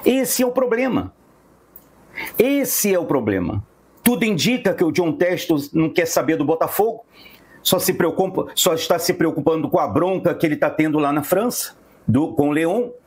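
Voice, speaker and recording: High-pitched, husky Brazilian man ranting. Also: animated, normal speed, darkish tone. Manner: emphatic, indignant, repetitive. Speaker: football fan commentator. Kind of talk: fan rant to camera.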